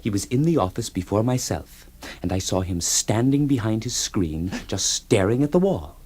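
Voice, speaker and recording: Radio drama: a low-voiced, grumbling clerk, bouncy pitch, slight hiss. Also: male voice, expressive, moderate pace, a little mellow. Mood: indignant. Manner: gruff, indignant, plainspoken. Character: blunt, grumbling male office clerk.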